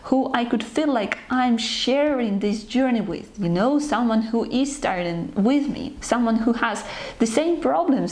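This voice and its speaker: A young woman from Ukraine, hosting her own channel, talking to her viewers about herself in an unhurried, earnest, slightly hesitant way. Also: on the high side, a little mellow. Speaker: channel host. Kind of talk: personal talk to camera.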